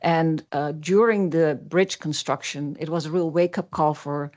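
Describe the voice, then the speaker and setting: A man's voice: on the high side, fairly even intonation, unhurried, darkish tone. A man from the Netherlands with a Dutch accent, speaking hesitantly with many fillers as a resident giving public comment.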